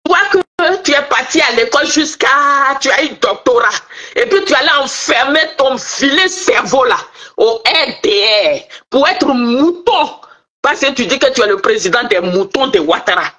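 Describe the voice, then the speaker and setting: A woman's voice: high voice, animated, normal speed, pushed and bright. A middle-aged woman from Ivory Coast with an Ivorian French accent, scolding and taunting in a heated political rant, nose stuffy from a cold. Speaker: online commentator. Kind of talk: angry political rant to viewers.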